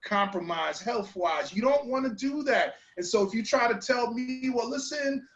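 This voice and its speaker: A man's voice: extremely high pitch, expressive, normal speed, fairly bright tone, soft background music. A man from New York co-hosting a legal talk show, making earnest, emphatic appeals. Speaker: lawyer co-host. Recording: echoey dull remote audio.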